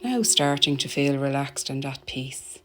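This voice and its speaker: A woman narrating a guided meditation in a soothing, gentle, deliberate manner, letting her phrases end softly. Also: a bass voice, lively intonation. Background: meditation music.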